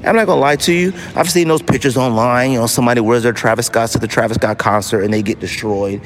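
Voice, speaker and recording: A man's voice: medium pitch, fast, slight background noise. A man hosting a casual solo podcast, talking emphatically and repeating phrases to drive them home. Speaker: podcast host.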